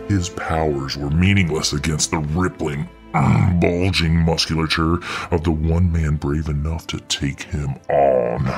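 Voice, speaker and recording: Man acting a very deep-voiced, domineering muscle-bound supervillain. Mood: boastful, scornful. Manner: deliberate, taunting, theatrical monologuing. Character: huge muscle-bound male supervillain, dominant, contemptuous, righteous. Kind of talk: ASMR audio role-play acting.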